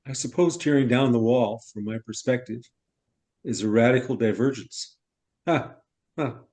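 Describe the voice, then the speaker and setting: A man playing a middle-aged newcomer farmer in a stage play, musing and curious in a deliberate, ruminative manner. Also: medium pitch, darkish tone, natural voice. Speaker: actor in a staged reader's theater.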